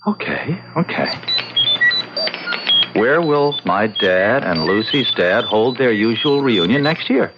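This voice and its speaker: A man's voice: lowish voice, sing-song, takes it slowly. An actor playing an enthusiastic, protesting young man devoted to his invention, in old-time radio drama style. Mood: humoring. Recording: dull lo-fi radio recording, faint noise.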